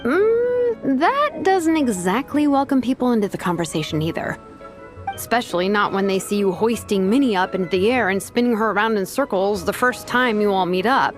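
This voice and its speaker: A woman's voice: mid-range voice, animated, normal speed, faint music behind, close to an everyday voice. A woman voicing a laid-back, wry young female vice captain in a video game, casual and offhand. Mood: easygoing.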